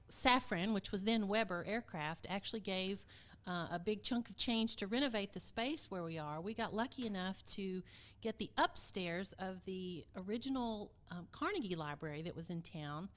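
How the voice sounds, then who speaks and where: Middle-aged woman, medium-pitched, casual podcast guest. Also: fairly bright tone. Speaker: podcast guest, community theater vice president of production. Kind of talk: casual conversational storytelling.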